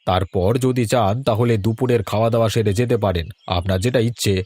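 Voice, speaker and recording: A man playing a proud older village patriarch, gruff yet caring, in an audio drama. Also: lowish voice, fast, darkish tone, no echo, close to an everyday voice. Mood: curt. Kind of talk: Bengali audio story drama acting.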